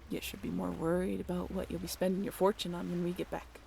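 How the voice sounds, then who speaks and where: A woman playing a young, ambitious sailor of olden days in an audio drama, soft-spoken and wistful, with archaic seafaring phrases. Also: fairly low pitch, level tone, fast, vocal fry, a little hiss or hum. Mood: soft, assured.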